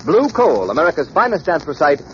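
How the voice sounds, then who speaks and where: A male announcer with a fairly high voice reads formally from a script. He declaims in 1930s radio fashion on a muffled old recording. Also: sing-song, brisk.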